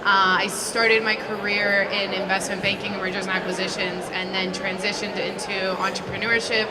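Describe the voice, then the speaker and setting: A woman's voice: mid-range voice, level tone, moderate pace, heavy background noise. A woman investment director introducing herself and her work as a panelist. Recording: distant mic, loud crowd chatter.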